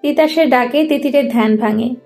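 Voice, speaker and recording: Woman narrator reading a story script, pretty high voice, soft music behind. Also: normal speed.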